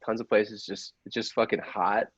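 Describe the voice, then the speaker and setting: Low-voiced man, slangy casual chat. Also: level tone, moderate pace, breathy edge, dry recording. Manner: informal, slangy, swears freely. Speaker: podcast cast member. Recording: dull lo-fi audio.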